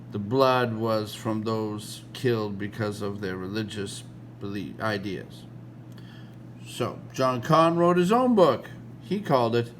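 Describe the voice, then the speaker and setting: American man reading aloud, with background hum. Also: mid-range voice. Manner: halting delivery, stumbling and correcting himself. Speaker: read-along host reading a history book aloud. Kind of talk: informal read-aloud with casual asides.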